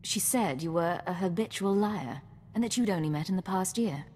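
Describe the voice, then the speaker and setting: A woman playing a composed secret agent in a video game, measured and cool with a dry wit. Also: lowish voice, lively intonation, darkish tone, a little hiss or hum, lightly acted. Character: composed, principled female secret agent, dryly witty. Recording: long reverb, music behind.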